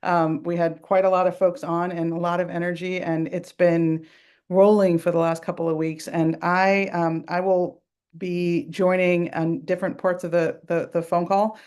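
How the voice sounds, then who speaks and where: Woman hosting a call, lowish voice, lots of um and restarts. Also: little pitch movement, darkish tone, smooth. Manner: halting, lots of fillers and repeats. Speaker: call host. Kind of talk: introducing a speaker on a call. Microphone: video or phone call.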